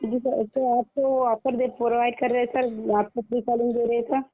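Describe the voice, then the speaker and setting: A woman's voice: fairly high pitch, little pitch movement, moderate pace, dry recording, soft background music. A woman with an Indian accent working as a customer care agent on the phone, polite, formal and repetitive. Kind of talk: scripted customer service phone talk. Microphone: phone line. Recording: tinny phone line with faint noise.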